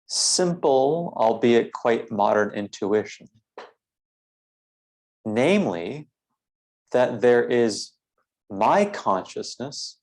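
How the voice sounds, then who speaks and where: A man leading a philosophical guided meditation in a measured, deliberate way, with formal phrasing and frequent pauses. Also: mid-range voice, a little mellow.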